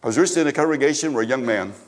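A man preaching a sermon at a church service, swinging from emphatic exhortation to low, stammered asides. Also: expressive, racing, a little hiss or hum. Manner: rises to emphatic exhortation, then drops low, with stammered repetitions.

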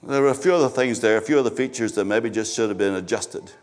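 A man's voice: fast talker, darkish tone. Stammering, rousing male preacher. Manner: rises to emphatic exhortation, then drops low, with stammered repetitions. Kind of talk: sermon. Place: church service.

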